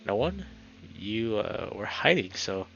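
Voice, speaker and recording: A man voicing a burly, protective male wolf in a visual novel, by turns commanding and gently coaxing. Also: on the low side, very animated, slow, vocal fry, lo-fi sound, slight background noise, full character voice. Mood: gentle, coaxing.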